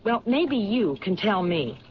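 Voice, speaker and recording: Lively, probing police lieutenant, old radio. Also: female voice, medium pitch, crowd noise. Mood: urging. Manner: probing, deliberate questioning. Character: sharp, persistent female police lieutenant investigating murders. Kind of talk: old radio drama acting. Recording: muffled, noisy 1970s radio recording.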